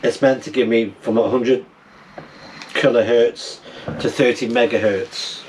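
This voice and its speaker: A middle-aged man chatting casually as he tinkers, halting and thinking aloud, recorded indoors on a camera mic. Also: medium pitch, fairly even intonation, slight background noise, rough recording. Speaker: amateur radio vlogger. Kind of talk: casual vlog chat while tinkering and unboxing. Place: small wooden cabin, indoors.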